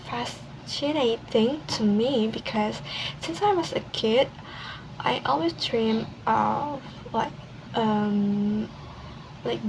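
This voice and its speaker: A young woman from Indonesia, a university student with an Indonesian accent, presenting casually in a second language with many pauses and restarts. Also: a little high. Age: young adult. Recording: steady background noise.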